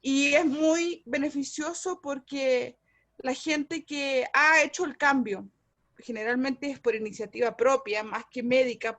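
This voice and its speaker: A woman from Chile, speaking earnestly and a bit hesitantly in a group video call. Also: fairly high pitch. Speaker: support group participant.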